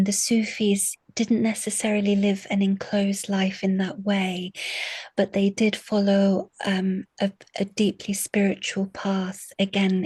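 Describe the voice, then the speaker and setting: A woman lecturer answering interview questions thoughtfully, pausing and restarting often. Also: mid-range voice, a little mellow, breathy edge, dry recording. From family from Syria. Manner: thoughtful, hesitant, many restarts. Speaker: guest, literature lecturer. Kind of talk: academic interview.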